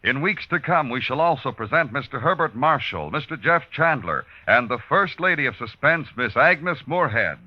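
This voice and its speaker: A man's voice: mid-range voice, very animated, normal speed, hardly any pauses, no room sound. An American man reading scripted announcements as a 1950s radio show announcer. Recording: dull old radio recording. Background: music.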